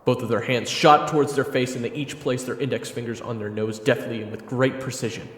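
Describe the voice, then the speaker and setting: A man giving theatrical, scripted second-person narration for an audio drama, often hushed. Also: medium pitch, steady tone, a little fast. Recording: roomy sound under loud music. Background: music.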